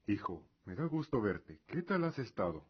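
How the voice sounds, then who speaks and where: Radio drama role, male actor: dignified middle-aged imperial father, low register, formal and earnest delivery, muffled lo-fi sound. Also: very animated, moderate pace, breathy edge. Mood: calm, formal. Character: proud, devout middle-aged father, solemn imperial lord. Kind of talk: fantasy radio drama acting.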